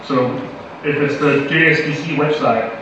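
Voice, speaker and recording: Young danish guy rambling through a conference talk, lots of ums, boomy hall, fuzzy lo-fi sound. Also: moderate pace. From Denmark. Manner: casual, playful, many fillers. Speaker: conference speaker. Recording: echoey hall from far, dull lo-fi audio, noisy.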